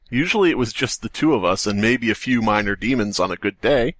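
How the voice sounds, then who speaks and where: A man with a bright, forceful voice jokes and reads aloud as a podcast co-host. His pitch moves around a lot, and the close, dry sound keeps the casual banter upfront. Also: no room sound. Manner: animated, joking. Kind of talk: casual comedic chat and story reading.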